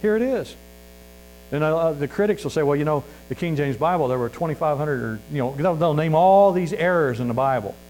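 Folksy Missouri preacher, faint hum. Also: male voice, on the high side, animated, fairly quick, darkish tone, low-quality recording. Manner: folksy and earnest, many fillers and restarts. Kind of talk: sermon.

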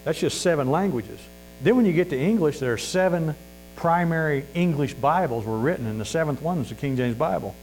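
A man's voice: fairly high pitch, lively intonation, brisk, darkish tone, poor audio. A man with a Missouri accent preaching a sermon in a folksy, earnest way, full of fillers and restarts. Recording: faint mains hum.

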